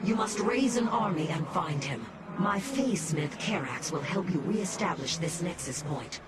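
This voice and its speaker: An actor voicing a dutiful alien military executor in a video game, formal and urgent, in the manner of a report. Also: male voice, high voice, animated, unhurried, very noisy. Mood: grave, urgent. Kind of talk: dramatic video game voice acting. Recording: loud music behind.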